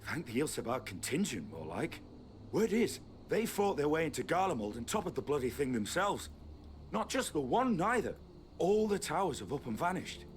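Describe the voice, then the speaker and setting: A man's voice: a little high, big pitch swings, moderate pace, slight background noise. An actor voicing a blunt, reassuring townsman in a video game, sharing news in a matter-of-fact way. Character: gossiping adult male townsman, blunt and reassuring.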